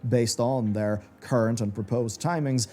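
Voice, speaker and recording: A man's voice: medium pitch, measured pace, a little mellow, soft background music. A man delivering scripted commentary in the style of a video essay.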